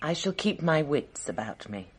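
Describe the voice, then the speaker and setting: A woman's voice: low voice, very animated, normal speed, slightly rough, full character voice. A woman playing an imperious, defiant wealthy madame giving orders in a radio drama.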